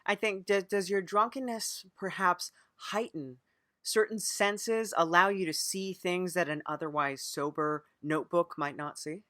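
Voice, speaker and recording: A man hosting a mock-serious improvised comedy interview, hesitant and deadpan as he earnestly plays along. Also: high voice, measured pace, dry recording, studio quality. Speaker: podcast interviewer.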